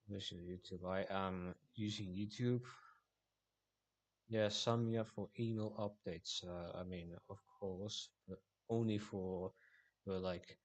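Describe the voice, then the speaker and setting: A man from Finland livestreaming casually, rambling with halting restarts. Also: on the low side.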